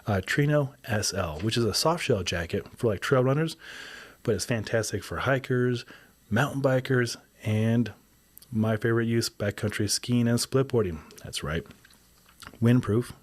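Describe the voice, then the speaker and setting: A man hosting a podcast whose voice is raspy from a windy day of shooting, talking casually in a rambling, upbeat way. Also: medium pitch, a little slow. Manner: rambling, upbeat, self-correcting. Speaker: podcast host. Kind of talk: casual solo podcast update.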